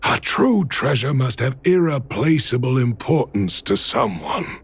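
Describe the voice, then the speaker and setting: A man playing a gentle, loving, middle-aged miner father in a video game, speaking tenderly. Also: mid-range voice, animated, unhurried, husky. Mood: gently explaining. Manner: tender, reassuring.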